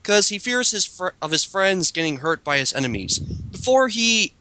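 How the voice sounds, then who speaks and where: A man's voice: high-pitched, sing-song, moderate pace, pushed and bright, no echo. A man doing a dramatic reading of a written character bio, breaking into casual commentary as host.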